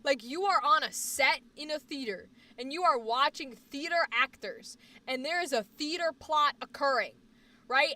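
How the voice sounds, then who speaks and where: A woman with a high, bright voice and a slight Boston accent, chatting loosely on her livestream. She rambles and repeats words for emphasis, and sounds a little away from the mic. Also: far from the mic. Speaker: livestream host. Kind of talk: casual chat stream.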